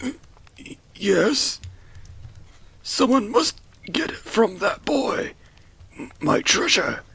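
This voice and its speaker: Shrill, cracked old dwarf. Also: male voice, very animated, drawn-out words, sharp tone, no echo, exaggerated voice. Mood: faltering, frail. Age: elderly. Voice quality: cracked, raspy, breathy. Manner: grandiose, faltering rambling. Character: rambling senile old male dwarf claiming to be a dragon. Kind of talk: tabletop fantasy audio drama acting.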